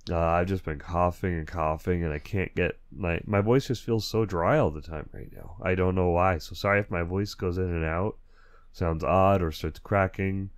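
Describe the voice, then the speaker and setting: A man's voice: sits low, moderate pace. A man hosting his own podcast in a casual, rambling way, talking through a lingering cold with a dry, stuffy throat. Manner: rambling and self-correcting, with chuckles. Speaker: podcast host. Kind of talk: casual solo podcast chat.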